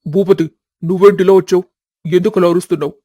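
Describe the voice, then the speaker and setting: A man's voice: high voice, steady tone, fast, warm tone, no room sound. A man playing an honest older farmer and father-in-law, indignant and questioning, in a family storybook melodrama.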